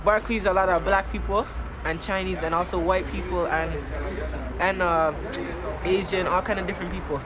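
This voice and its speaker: A man's voice: high-pitched, fairly even intonation, normal speed, crowd noise, poor audio. A man, a local resident, talking casually in a street interview outdoors. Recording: outdoor street recording with traffic noise.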